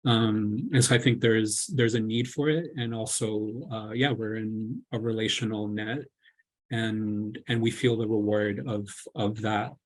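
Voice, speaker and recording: A man from Colombia speaks on a panel in a low, husky voice. He talks hesitantly, filling gaps with ums and restarting his sentences. The sound is a video call. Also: fairly even intonation, measured pace. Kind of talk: reflective panel talk.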